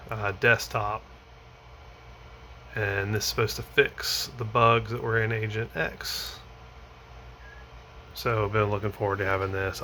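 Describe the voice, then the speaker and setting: Male tutorial host, mid-pitched voice, informal step-by-step delivery, faint noise behind him. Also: a bit bright. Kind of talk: casual hands-on tech tutorial.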